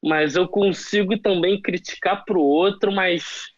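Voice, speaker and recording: A Brazilian man speaks in a fairly high voice, thinking aloud with many restarts and hesitant pauses. The sound is dry and a little muffled, like lo-fi remote audio. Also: fairly even intonation, dry recording. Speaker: guest, former soldier. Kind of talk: casual conversational interview.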